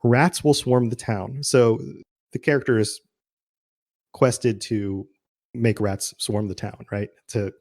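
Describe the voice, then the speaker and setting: A man's voice: medium pitch, fairly even intonation, slow pace, a little mellow. A man chatting informally and casually as a podcast host about game lore, often chuckling.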